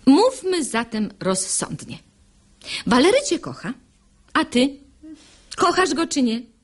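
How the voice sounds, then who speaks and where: A sharp-tongued young maidservant in a classical verse radio play. Her voice is high and very lively, rising and falling with theatrical sarcasm. She articulates every bold, mocking line crisply. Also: slow pace, heavily acted. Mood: urging, scolding.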